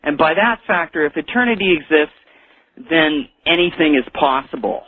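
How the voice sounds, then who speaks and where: A man calls a radio show over a thin telephone line. His voice sits somewhat high for a man, and he speaks haltingly, wandering through his thoughts. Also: lively intonation, no room sound. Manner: halting, rambling, hesitant. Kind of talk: casual phone-in conversation. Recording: tinny phone line.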